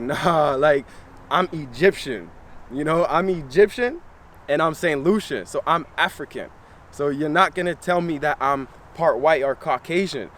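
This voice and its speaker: A young man hosting a wellness channel, talking casually and earnestly to camera, repeating phrases for emphasis in relaxed slangy speech. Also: on the high side, a little hiss or hum. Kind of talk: casual motivational talk to camera.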